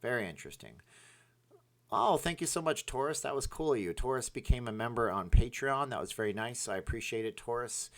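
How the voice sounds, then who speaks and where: A man's voice: mid-range voice, animated, a little fast, a bit bright. A man hosting a casual livestream, reading out chat messages and rambling with lots of fillers and restarts. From part Ukrainian, Polish, Irish, Scottish heritage.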